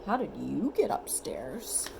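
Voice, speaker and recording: A woman's voice: lowish voice, sing-song, slow pace, vocal fry, a little mellow, a little hiss or hum, voice pushed down, full character voice. A woman voicing a puzzled male night watchman in a storybook, with emphatic questions.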